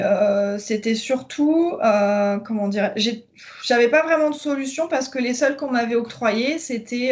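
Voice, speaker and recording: A woman in her thirties from northern France, hesitantly recounting her personal journey with many fillers, over a video call. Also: fairly high pitch. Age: 30s. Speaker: coaching client giving a testimonial. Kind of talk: conversational interview.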